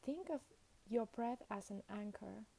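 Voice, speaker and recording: A woman guides a meditation at a very unhurried pace. Her voice is soft-edged and dark, with a noticeable fry in it. She speaks in short, soothing phrases and leaves generous space between them. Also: mid-range voice, rough recording.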